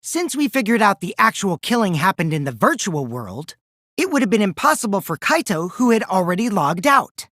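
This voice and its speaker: A man's voice: high-pitched, sing-song, moderate pace, no room sound, studio quality, exaggerated voice. A man voicing a mischievous, childish teenage boy who lies and schemes, in a youthful, teasing, theatrical video game performance. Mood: teasing, sly. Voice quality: boyish, youthful put-on voice. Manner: teasing, theatrical, swinging from singsong to hushed menace. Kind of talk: English video game voice acting.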